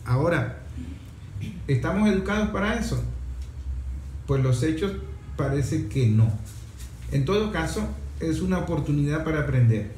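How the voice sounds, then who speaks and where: A man's voice: a little high, animated, normal speed, long pauses, darkish tone. A Venezuelan man hosting a radio talk show in a reflective, didactic, conversational way, posing rhetorical questions. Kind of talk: conversational radio talk about environmental issues. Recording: echoey room with background noise.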